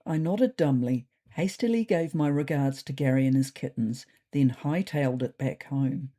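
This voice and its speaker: A woman's voice: a bass voice, moderate pace, mellow. A woman voicing a wry, self-important male cat telling his diary in a dry, mock-serious way for a comedy story.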